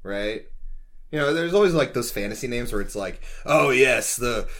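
A man's voice: mid-range voice, lively intonation, moderate pace, fairly bright tone. A man co-hosting a podcast in casual, bantering chat with a jokey, easygoing manner. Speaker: podcast co-host.

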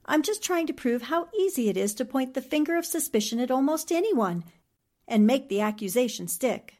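An actress playing a shrewd, confident newspaperwoman sleuth in a 1940s-style radio mystery, deliberate and probing. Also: high-pitched, expressive, a little mellow. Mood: challenging, calming.

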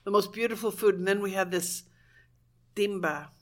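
A woman's voice: mid-range voice, normal speed. Chatty woman guest telling food stories. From Cuban family in Southern California. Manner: animated, laughs often, trails off into fillers. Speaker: guest, blogger. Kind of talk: casual chat.

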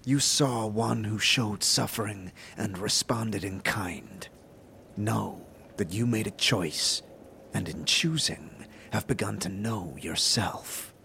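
Man playing a gravelly, slow, solemn warrior-mage. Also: lowish voice, sharp tone, a little hiss or hum, heavily acted. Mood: solemn, calm. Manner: slow, measured, formal, aphoristic. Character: solemn, cryptic warrior-mage companion, stoic and wise. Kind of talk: fantasy audio drama acting.

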